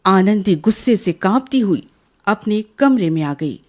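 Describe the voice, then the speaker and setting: A woman's voice: medium pitch, animated, unhurried, a little mellow, lightly acted. A woman playing the narrator of a village family tale in a radio drama, reading expressively and with measure. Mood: grave narration.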